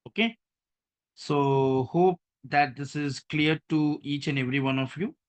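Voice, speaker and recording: A man's voice: fairly high pitch, unhurried. Man teaching over a video call. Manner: repeats "okay" and "right", walks through steps on screen. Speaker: trainer. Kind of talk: online training session.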